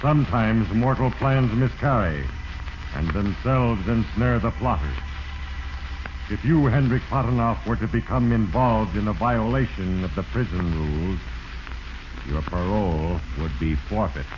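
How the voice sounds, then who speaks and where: An actor playing Fate, a stern, all-powerful narrator, speaking with solemn pomp in 1940s radio drama style. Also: male voice, on the low side, a little slow, natural voice. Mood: grave, judging. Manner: solemn, pompous, portentous. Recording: noisy lo-fi 1940s radio recording.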